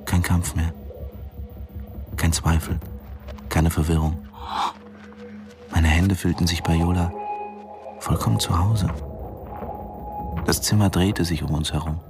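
An actor playing an infatuated, defiant diving instructor who tells his story in an intimate, measured radio drama narration. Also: low voice, fairly even intonation, over music. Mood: absorbed, confessional.

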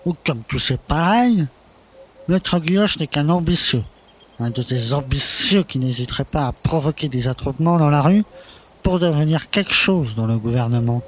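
Pompous, disapproving provincial gentleman, acted by a man. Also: a little high, expressive, moderate pace, a little hiss or hum, close to an everyday voice. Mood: indignant. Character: pompous, indignant conservative provincial notable. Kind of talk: French audio drama dialogue.

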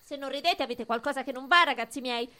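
A woman's voice: high-pitched, fast talker, sharp tone. A woman playing a mischievous marble boy, clownish and pleading, in a theatrical Italian radio play. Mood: manic, clownish. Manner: theatrical, exaggerated.

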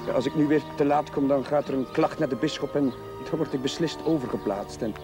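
A man's voice: medium pitch, a little fast, lightly acted. A man acting an apologetic, courteous young clergyman in a television period drama, talking in a hesitant, flustered way. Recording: loud music under the dialogue.

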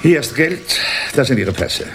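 A man's voice: medium pitch, expressive, unhurried, background noise. A man acting a smug businessman and deal-maker in a German radio drama, speaking in an expansive, theatrical way. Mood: jovial, conspiratorial. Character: smug, jovial male West Berlin deal-maker.

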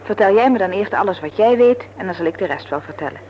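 Breezy young woman, played by an actress, self-assured and teasing, expressive vintage radio-serial style, mid-pitched, noisy old recording. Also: quick pace, natural voice. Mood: matter-of-fact.

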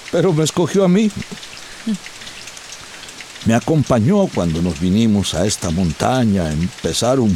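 An actor playing a grieving older village founder in a radio drama, mournful, with a Central American Spanish accent. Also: male voice, fairly low pitch, dark tone, slight background noise. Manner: mournful, drawn-out delivery.

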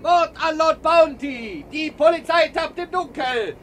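A woman playing a street newspaper seller in a 1950s German radio play, calling out in drawn-out cries. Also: high voice. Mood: clamorous. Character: shrill street newspaper seller crying headlines. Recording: distant, noisy old radio street scene.

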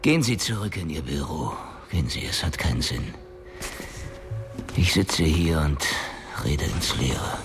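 Gruff boss with wildly swinging pitch. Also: male voice, big pitch swings, measured pace, pauses often, heavily acted. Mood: weary, resigned. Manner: sarcastic, cursing, blustering outbursts. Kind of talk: German radio drama acting. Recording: studio production with music bed.

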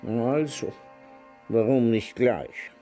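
A man's voice: mid-range voice, drawn-out words, warm tone, faint music behind. An older man acting a grumbling, teasing, hard-of-hearing man in his nineties in a radio play. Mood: confused, irritated.

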